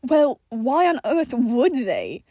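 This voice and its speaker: A prim, exasperated man, acted out by a woman reader in an audio story. The voice is high, huffy and long-suffering, recorded dry. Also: very animated, slow, warm tone, no room sound, exaggerated voice.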